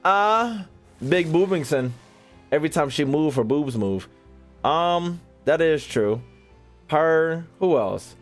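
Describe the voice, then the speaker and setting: Animated young American man streaming games. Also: on the high side, takes it slowly, faint music behind. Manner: joking, slangy, profane, addresses chat. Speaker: gaming streamer. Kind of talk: casual live gameplay commentary.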